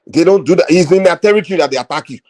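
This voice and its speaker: A man's voice: a little high, rapid-fire, darkish tone. A man from Lagos with a Nigerian accent, hosting a heated panel debate and cutting in often with repeated words.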